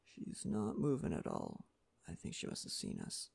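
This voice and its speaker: A man acts out a friendly lumberjack in an intimate ASMR roleplay. His voice is soft and unhurried, with gravelly creak at the edges. The pitch moves in an animated way as he gently reassures the listener in plain, folksy fashion. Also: heavily acted. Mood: hushed. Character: friendly Canadian lumberjack, gentle nature-loving father.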